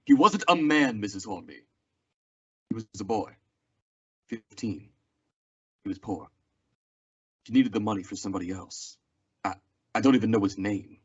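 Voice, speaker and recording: A man's voice: fairly low pitch, expressive, normal speed, long pauses, a bit bright, slightly rough. A young man acting a weary, plainspoken Old West marshal in a radio Western drama. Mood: grieved, bitter. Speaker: actor in a school radio theatre production. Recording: dull lo-fi radio-play recording.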